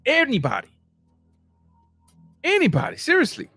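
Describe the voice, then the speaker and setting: A man from Cuba, hosting a casual boxing livestream and reading viewer comments, animated, stumbling over his words and jumping up in pitch. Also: moderate pace, no room sound, faint music behind. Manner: animated, repeats words and restarts, rising to high bursts.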